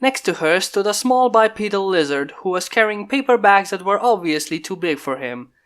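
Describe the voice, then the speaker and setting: A man reading a fan story aloud in steady, plain narration. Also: high voice, normal speed. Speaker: fanfic reader. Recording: mic pops from a failing microphone.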